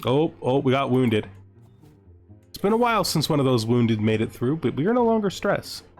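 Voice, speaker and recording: A man's voice: mid-range voice, big pitch swings, normal speed, darkish tone. A man giving casual, jokey let's-play commentary on a strategy game, thinking aloud and often putting questions to himself. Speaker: gaming video host. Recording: background music under the voice. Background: music.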